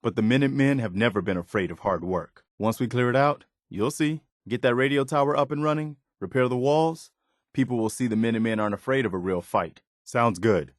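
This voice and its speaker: Guy acting as earnest militia leader in a video game, lowish voice, super dry sound, steady believer type. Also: dry recording, lightly acted. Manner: earnest, resolute. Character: earnest, dutiful male militia leader rallying settlers. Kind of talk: video game character dialogue.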